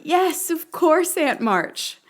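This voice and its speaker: A woman playing an eager, girlish and artistic young lady in a period audio drama, with expressive delivery. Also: very high-pitched, takes it slowly, full character voice. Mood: polite, eager. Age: young adult.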